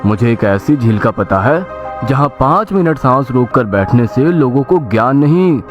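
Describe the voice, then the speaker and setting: A man's voice: mid-range voice, expressive, moderate pace, lo-fi sound, lightly acted. Cartoon merchant male performer: cunning, smug, persuasive, medium register, prominent music underneath. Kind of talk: Hindi cartoon moral story dialogue.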